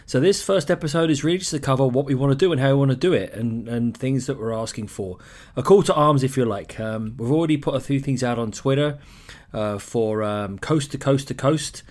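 English-accented man, solo podcast host, easygoing ramble with frequent fillers, mid-pitched voice. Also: a little fast, darkish tone. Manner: chatty, loose, many ums and uhs.

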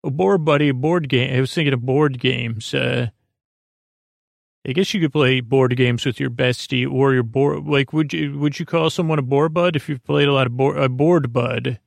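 A man with a creaky voice hosts a sleep podcast, meandering hesitantly through tangents in a bedtime monologue. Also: mid-range voice, moderate pace, no room sound. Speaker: podcast host.

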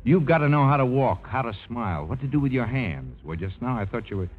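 A man's voice: medium pitch, sing-song, brisk, breathy edge, phone-quality sound. An actor playing a wry, self-assured male studio pianist with a dry, blunt manner in a 1950s radio drama. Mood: blunt, coaching. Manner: dry, hesitating, then lecturing. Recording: thin 1950s radio broadcast, faint music behind.

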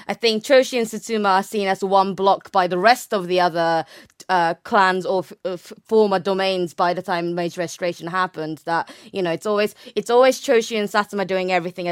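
Clear-voiced young woman explaining, close and dry. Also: lowish voice, steady tone, brisk, no room sound. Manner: hesitant phrasing, frequent hedging and self-correction. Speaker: interview guest, naval history researcher. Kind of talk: conversational academic explanation.

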